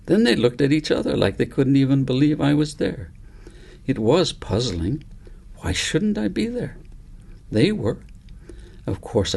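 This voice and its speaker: A man's voice: medium pitch, creaky, darkish tone, exaggerated voice. A man voicing an earnest, precocious five-year-old girl in a storybook, telling her dreams in articulate, wordy sentences. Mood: puzzled. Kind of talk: storybook character reading.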